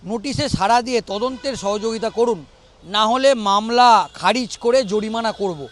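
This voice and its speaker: A man giving an unscripted news report in a halting, repetitive way. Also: high-pitched, fairly bright tone. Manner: halting, repeats phrases.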